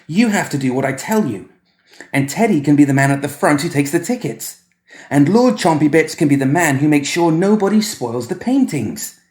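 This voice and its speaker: A man's voice: fairly high pitch, expressive, normal speed, darkish tone, roomy sound, pitched above the performer's own voice, natural voice. A man voicing a strange, bossy little boy in a story, with a piping voice and precise, childlike, petulant speech. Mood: excited, bossy.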